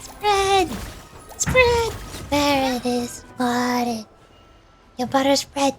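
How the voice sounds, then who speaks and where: A woman's voice: sits high, big pitch swings, drawn-out words. A woman giving playful, casual commentary as she streams a video game. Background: faint music.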